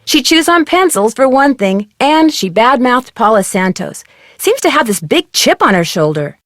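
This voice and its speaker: Teenage girl detective, level-headed, friendly, matter-of-fact, slightly high voice, dry studio game dialogue. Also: big pitch swings, normal speed, a bit bright, no room sound. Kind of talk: video game voice acting.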